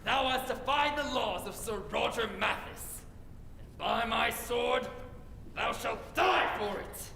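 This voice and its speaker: A woman's voice: on the high side, takes it slowly, gravelly, music in the background, heavily acted. A woman playing a vengeful, menacing male ghost knight in an old-time radio drama, declaiming in archaic speech. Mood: low threat. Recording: reverberant hall sound.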